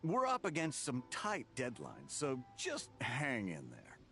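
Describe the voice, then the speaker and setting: A man's voice: mid-range voice, wildly swooping pitch, measured pace, bright, forceful tone, heavily acted. An actor playing a pushy, dismissive male boss who talks in a managerial way. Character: pushy adult male boss, smooth-talking. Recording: game music behind.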